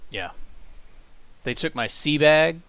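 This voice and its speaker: Higher-voiced man, blunt and dry-sounding. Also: animated, takes it slowly, fairly bright tone, no echo. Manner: blunt, heavy swearing. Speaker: amateur audio drama editor. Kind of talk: casual chat.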